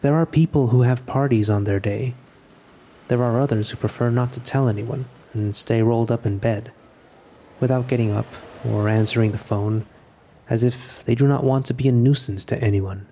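A man acting a resigned, solitary narrator in scripted audio fiction, speaking in a measured, introspective way about his own final day. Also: mid-range voice, steady tone, dark tone, a little hiss or hum, lightly acted. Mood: quiet resignation.

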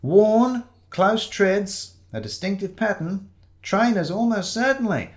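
A man's voice: high voice, big pitch swings, slow pace, pitched above the performer's own voice, exaggerated voice. A man voicing an eccentric, pedantic amateur detective for a story, precise, clipped and insistent. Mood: absorbed, analytical.

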